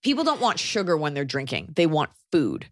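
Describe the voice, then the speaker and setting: A woman co-hosting a casual podcast, giving blunt, sarcastic opinions in quick bursts. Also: sits low, huge pitch swings, no room sound, studio quality. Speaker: podcast co-host.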